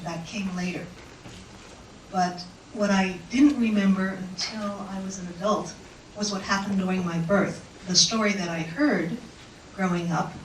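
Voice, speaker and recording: A woman giving a personal talk to an audience in an auditorium, speaking through a microphone in an unhurried, reflective way with pauses. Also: lowish voice, recorded from a distance, a little hiss or hum. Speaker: invited speaker. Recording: echoey hall mic heard from afar.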